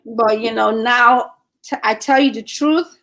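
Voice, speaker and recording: A young woman from Nigeria with a Nigerian accent, chatting as a guest in an animated way and laughing often. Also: on the high side, measured pace. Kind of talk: casual chat.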